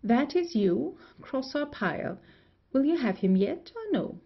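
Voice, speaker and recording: A woman playing a playful, nosy gentlewoman in a period comedy audio play, with a creaky voice. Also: high-pitched, sing-song, measured pace, exaggerated voice. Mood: teasing, conspiratorial. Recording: dull, lo-fi audio.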